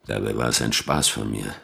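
A sly lawyer with menacing connections in a radio drama. His extremely deep, creaking voice insinuates rather than states. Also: a bit bright, heavily acted.

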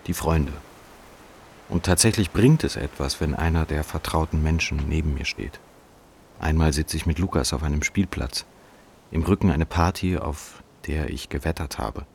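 An actor playing a brooding, detached writer lost in his illness, speaking a deliberate, sombre radio drama monologue. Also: a bass voice. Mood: melancholy. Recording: clear hiss behind the voice.

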